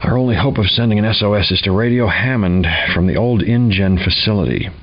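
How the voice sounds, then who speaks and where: An actor playing a gloomy, wry scientist who narrates mission notes in a video game, dry and foreboding. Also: male voice, fairly low pitch, a little fast, lightly acted. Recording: dull lo-fi game audio.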